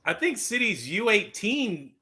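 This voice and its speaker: A man in a casual sports chat, talking as a fan panelist in an animated, slangy way with emphatic repetition. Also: high voice, measured pace. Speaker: football fan podcast panelist.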